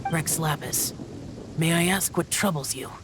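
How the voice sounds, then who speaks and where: A man's voice: on the high side, unhurried, fairly bright tone, a little hiss or hum. A man playing a stern, aloof, youthful immortal guardian in a video game, speaking in a terse, reserved, formal way. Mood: respectful, puzzled. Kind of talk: restrained video game voice acting.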